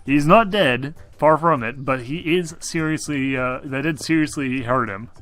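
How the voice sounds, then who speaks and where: A man's voice: on the high side, a little slow, fairly bright tone, faint music behind. A man acting as game master in casual tabletop roleplay, making firm, informal rulings.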